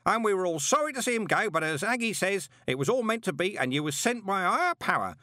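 A man voicing a loud, hearty elderly shopkeeper who bellows everything with no sense of volume. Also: very animated, quick pace, no echo, studio quality, exaggerated voice. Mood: bellowing. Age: older. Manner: bellowing, booming, no volume control.

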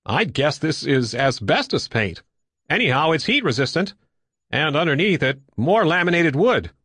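Male reader doing an audiobook crewman, thoughtful and observant, matter of fact, pitch moves around a lot. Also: big pitch swings, normal speed, fairly bright tone, breathy edge, no echo, studio quality, full character voice. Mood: musing. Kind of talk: audiobook character dialogue.

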